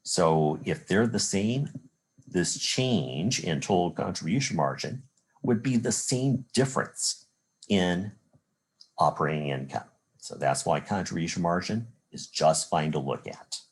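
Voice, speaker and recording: A man's voice: deep voice, expressive, unhurried, husky. A man from the Chicago area with an American accent, giving a recorded college lecture as an instructor, explaining examples methodically step by step. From Chicago area, United States. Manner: methodical, step-by-step explaining with rhetorical "well" and "again".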